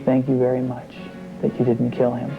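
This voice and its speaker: A man's voice: medium pitch, monotone, moderate pace, creaky, loud music behind the voice. A man being interviewed in a news report, speaking earnestly and plainly. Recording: tinny, phone-like archive news audio.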